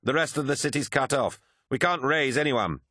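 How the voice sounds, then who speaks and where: A swaggering, bitter warrior of an elite order acted by a male narrator, pitched well up and haughty. He speaks with emphatic, petulant pride, as in a dramatic sci-fi audiobook, in a dry recording. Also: expressive, moderate pace, pushed and bright, no room sound. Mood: cocky.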